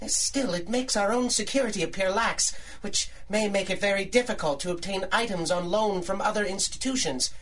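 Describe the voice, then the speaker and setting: A woman's voice: mid-range voice, moderate pace, few pauses, a little hiss or hum, lightly acted. A woman playing a fretful, scholarly museum doctor with dithering, old-fashioned formal speech in a 1930s-style radio drama. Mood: anxious.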